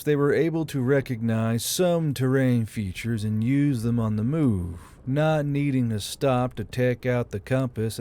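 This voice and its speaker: A man's voice: mid-range voice, warm tone. A man reading military fantasy fiction as a scripted audiobook narration. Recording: music under the voice.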